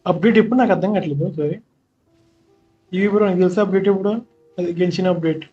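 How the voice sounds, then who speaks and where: A man's voice: high-pitched, rapid-fire, mellow, faint music behind. A man chatting casually as he live-streams a game, heard through a muffled, distant mic.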